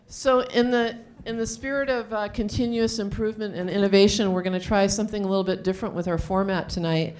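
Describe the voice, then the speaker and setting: A woman hosting a live event, introducing speakers in an informal, chatty way and sometimes haltingly reading from notes. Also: mid-range voice, steady tone, a little mellow. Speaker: event host introducing panelists. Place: live event room, on stage. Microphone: handheld microphone.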